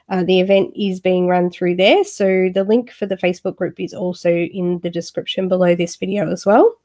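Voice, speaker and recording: A woman's voice: medium pitch, warm tone. A woman hosting a live coloring stream, chatting with viewers and talking a little nasally from a cold. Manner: chatty, friendly, lots of fillers. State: has a cold, slightly nasal. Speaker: YouTube coloring channel host.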